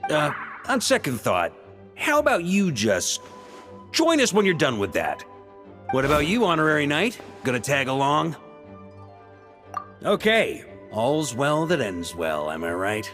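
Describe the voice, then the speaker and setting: A man's voice: on the high side, wildly swooping pitch, measured pace, pauses often, fairly bright tone, exaggerated voice. A man playing a carefree, roguish young drinker in a video game, playful, teasing and theatrical. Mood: coaxing, playful. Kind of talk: video game cutscene acting. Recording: long hall reverb, music behind.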